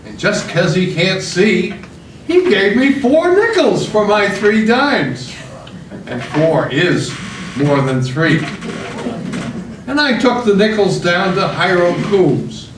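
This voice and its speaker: A man's voice: high-pitched, big pitch swings, slow pace, heavily acted. A man playing a naive, proud little boy who boasts in a simple-minded way, reciting comic verse. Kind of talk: comic poem recitation at readers theater. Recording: roomy, distant, noisy live stage recording.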